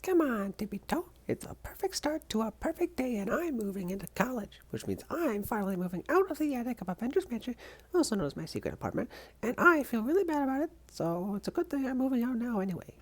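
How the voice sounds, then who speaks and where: A woman's voice: a little high, very animated, fast. A woman voicing an upbeat young superhero college student in a comic dub, perky, chatty and emphatic. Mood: perky, determined. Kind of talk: comic book dub voice acting.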